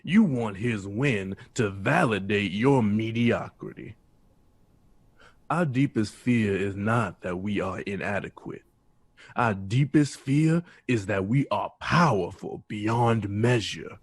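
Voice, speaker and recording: Wise old man in an audio drama, low husky voice, blunt and preachy. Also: slow pace, dry recording. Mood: earnest counsel. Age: older. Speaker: actor.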